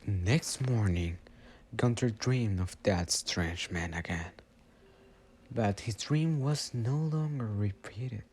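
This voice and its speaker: A man's voice: lowish voice, slow, darkish tone, slightly rough. A man giving dramatic scripted narration for a radio drama.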